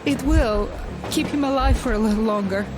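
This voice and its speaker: An actress playing a worried, capable young medic in a video game, speaking urgently and decisively. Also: female voice, a little high, normal speed, over music, close to an everyday voice.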